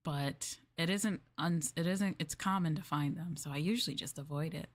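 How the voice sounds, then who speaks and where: A woman streamer chatting casually in a maid roleplay, rambling and laughing softly as she addresses her master. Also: sits low, normal speed. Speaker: VTuber streamer.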